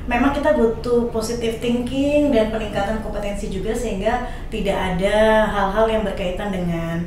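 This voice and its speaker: Woman host, mid-pitched, casual summarizing talk, heavy echo, clear background noise. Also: normal speed, a little mellow. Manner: agreeing, summarizing others' points. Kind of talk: casual talk-show hosting. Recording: very echoey, distant mic, noisy.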